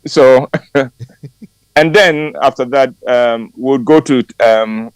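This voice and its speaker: An older Ghanaian man in his sixties speaks on morning radio in a measured, unhurried way, with hesitant ums and soft chuckles. His voice sits at a middle pitch and sounds close and dry. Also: clear, resonant tone, no room sound, low-quality recording. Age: 60s. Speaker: regular commentary guest. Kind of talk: warm radio chat and read commentary.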